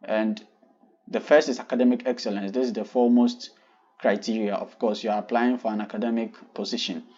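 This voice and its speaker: A man's voice: on the low side, steady tone, brisk, a little mellow, highs cut off. Young Ghanaian man, vlog host; polite and earnest, explains details patiently. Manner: polite, earnest, walking viewers through details step by step. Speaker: channel host.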